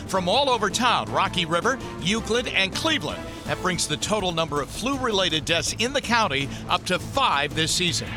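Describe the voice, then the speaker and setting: Animated woman hosting local TV news. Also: fairly low pitch. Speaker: host of a local TV news talk show. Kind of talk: panel moderation and news headlines.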